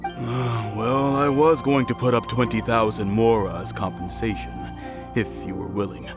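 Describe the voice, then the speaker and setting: Actor as a mild, worried innkeeper. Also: male voice, mid-range voice, steady tone, normal speed, lightly acted. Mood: worried, polite. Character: friendly, worried male innkeeper in a fantasy game. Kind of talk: video game character voice acting. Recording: game music behind the voice.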